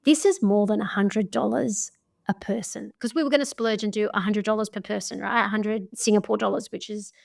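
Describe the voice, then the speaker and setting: A woman's voice: mid-range voice, steady tone, brisk, gravelly. Chatty Australian woman. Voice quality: chatty, laughs often. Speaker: podcast guest, travel creator. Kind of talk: casual storytelling chat.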